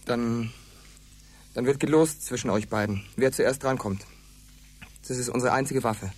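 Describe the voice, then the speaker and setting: An actor playing a stern, threatening rebel leader in a German radio drama, blunt and emphatic. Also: male voice, medium pitch, measured pace, breathy edge. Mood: grimly determined.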